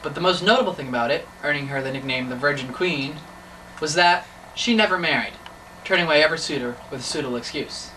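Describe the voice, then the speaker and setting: A man's voice: on the high side, fast, bright, forceful tone. A teenage boy narrating a mock documentary and interviewing, earnest and mock-serious. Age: teens. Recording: distant mic, noisy room.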